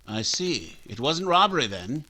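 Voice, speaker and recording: An actor playing a firm, protective small-town doctor with an authoritative manner, in old-time radio style. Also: male voice, mid-range voice, very animated, measured pace, pushed and bright, full character voice. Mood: matter-of-fact. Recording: faint noise, clicks.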